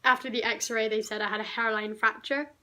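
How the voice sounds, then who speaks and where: A teenage girl from the UK, slightly croaky from a cough, telling a rambling, indignant storytime vlog. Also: a little high, steady tone, a little fast, sharp tone. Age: teens. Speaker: vlogger.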